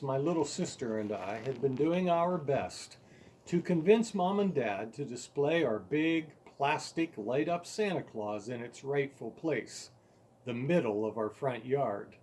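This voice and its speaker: A man reading his own story aloud as its author, in an expressive, deliberate storytelling manner with emphasized words. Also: mid-range voice, slight background noise. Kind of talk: scripted story narration.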